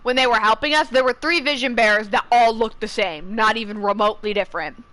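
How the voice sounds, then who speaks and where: A young woman streams a game with casual commentary. Her voice is fairly high and sharply bright, and she rambles in a chatty, opinionated way. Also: quick pace, poor audio.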